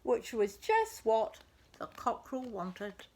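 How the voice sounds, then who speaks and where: A woman storyteller reading a children's tale expressively and deliberately, as if to young listeners. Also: a little high, very animated, darkish tone, a little hiss or hum. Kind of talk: scripted children's story narration.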